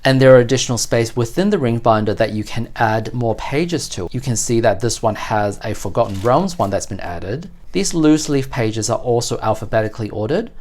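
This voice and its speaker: Unboxing channel host for tabletop game collectibles; methodical explainer, medium pitch. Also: normal speed, hardly any pauses, darkish tone.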